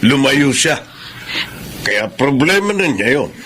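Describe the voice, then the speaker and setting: A man's voice: medium pitch, sing-song, takes it slowly, pushed and bright, slight background noise, full character voice. A man acting a cold, dismissive middle-aged father in a Filipino radio drama, harsh and curt.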